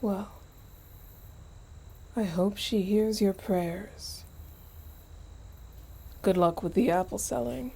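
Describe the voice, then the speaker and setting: A woman's voice: medium pitch, takes it slowly, vocal fry, pauses often, mellow, breathy edge, exaggerated voice. A woman playing an anxious, solicitous mother goddess in a radio play. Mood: guarded, polite. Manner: solicitous, probing, insistent. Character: fussing, anxious mother, a grieving harvest goddess.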